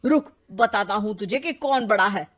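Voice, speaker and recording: A woman's voice: medium pitch, moderate pace, fairly bright tone, lightly acted. A woman voicing a boastful, quarrelsome elder brother in a cartoon story, indignant and emphatic.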